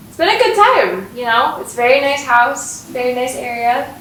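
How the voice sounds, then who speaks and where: A woman's voice: high-pitched, animated, normal speed, fairly bright tone, a little hiss or hum. A woman student from Wisconsin chatting in a friendly, easygoing way. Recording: echoey room, distant mic.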